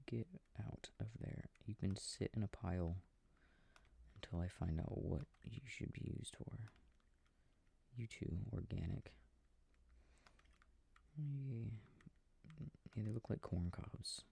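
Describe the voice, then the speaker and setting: A man speaking softly and breathily, often near a whisper, quietly musing to himself in an ASMR sleep-aid style while playing a video game. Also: lowish voice, slow, vocal fry, pauses often, slight background noise. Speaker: audio roleplay performer. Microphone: close microphone. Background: game controller clicking.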